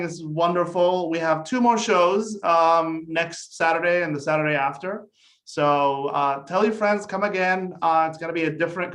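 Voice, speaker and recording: A man's voice: fairly high pitch, fairly bright tone. A man whose first language is Arabic, hosting a casual chat after an improv show over a video call, talking with many fillers and restarts.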